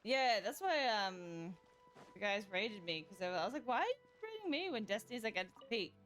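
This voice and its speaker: A woman's voice: fairly high pitch, big pitch swings, normal speed, a bit bright. A woman chatting casually and off the cuff while streaming a game. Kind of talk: casual unscripted gaming stream chat. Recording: game music behind the voice.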